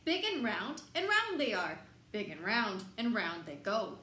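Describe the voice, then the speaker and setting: A woman reading a picture book aloud to young children in an expressive, sing-song way, pausing to put questions to them. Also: mid-range voice. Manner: expressive, dramatic emphasis, sing-song counting and repetition. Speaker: children's storybook reader. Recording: roomy echo, faint background noise.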